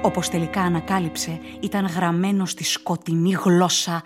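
A woman's voice: on the low side, flat delivery, soft background music, lightly acted. A woman performing as the narrator of an eerie horror radio play, in a theatrical, suspenseful storytelling manner.

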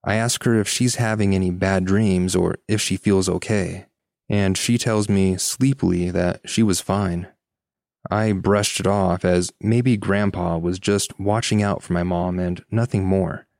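A man's voice: low voice, fairly even intonation, unhurried, creaky, darkish tone, dry recording, clean studio sound. A man co-hosting a podcast, reading listener stories and chatting casually. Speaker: podcast co-host.

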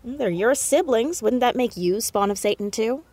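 A woman playing a sharp, nosy fourteen-year-old girl detective in a naturalistic audio drama, quick and probing. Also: a little high, lively intonation, no echo. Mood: irritated, blunt.

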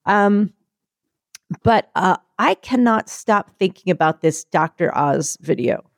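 A woman chatting casually, telling a story and acting out quotes in a higher voice. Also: slow, no echo, clean studio sound. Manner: animated, acts out quotes in higher voice.

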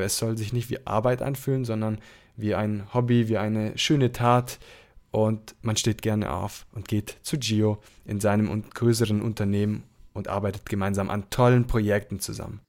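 A man's voice: on the low side, a little mellow. A young man from southern Italy, the show's host, giving long, rambling answers with lots of fillers. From Apulia, Italy. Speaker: podcast host. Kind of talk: casual conversation.

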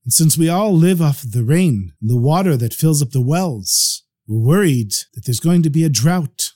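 A man voicing a calm, fatherly rabbi in a story, soothing and patiently explaining. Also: on the high side, moderate pace, no echo, studio quality.

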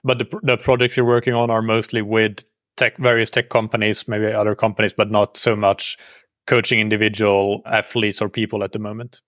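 A man hosting a podcast in a casual, conversational way, somewhat halting as he forms his questions. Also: fairly low pitch, level tone, fairly bright tone, no room sound, clean studio sound. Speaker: podcast host.

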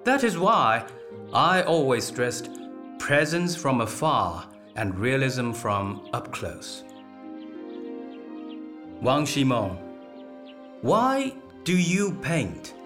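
A commanding, dignified emperor in a radio drama. He speaks slowly and deliberately, with lively intonation that carries calm authority over music. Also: medium pitch, heavily acted. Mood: approving, instructive. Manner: measured, stately, authoritative.

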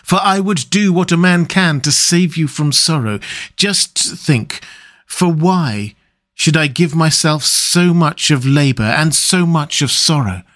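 A man's voice: a little high, animated, a bit bright, voice pushed up. Guy doing a stern but kind old professor for an audiobook, solemn formal talk, voice pushed up. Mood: pleading, tender. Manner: solemn, earnest, deliberate formal phrasing. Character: grave, stern, compassionate old foreign professor, male. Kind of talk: dramatic audiobook character reading.

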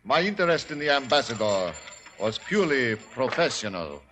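A man playing a smug, cold-blooded gentleman gambler in a 1940s radio drama, speaking formally and deliberately. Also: mid-range voice, very animated. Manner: formal, deliberate, self-satisfied.

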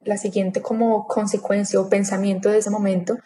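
Woman in her 30s chatting about her life in an interview, warm mellow voice, lots of quoted asides. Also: medium pitch, normal speed. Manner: chatty, animated retelling with quoted inner thoughts. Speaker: interview guest. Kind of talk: casual storytelling interview.

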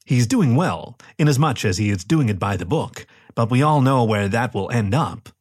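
A man voicing a male ship's AI in an audiobook, calm, formal and dutiful. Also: mid-range voice, normal speed, breathy edge.

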